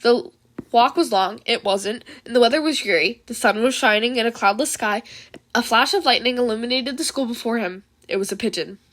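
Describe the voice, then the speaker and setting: High-voiced woman reading fan fiction. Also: fairly quick, a bit bright. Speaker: amateur fan fiction reader. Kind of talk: expressive scripted story narration with casual asides.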